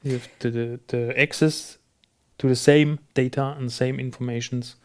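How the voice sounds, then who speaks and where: Non guy chatting on a business podcast, mid pitch, agreeable, kinda halting while he finds words. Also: steady tone. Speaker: podcast host. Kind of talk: conversational business podcast discussion.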